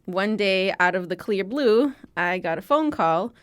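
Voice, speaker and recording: A woman's voice: mid-range voice, expressive, unhurried, clear, resonant tone. A woman in her forties from Chicago, telling her story as an interview guest and voicing the people she quotes. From Chicago, USA. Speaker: guest, archives founder.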